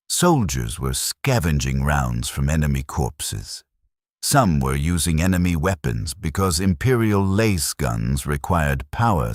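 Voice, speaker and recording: Male narrator, extremely low pitch, lively intonation, grave measured delivery, scripted war storytelling. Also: dry recording, studio quality.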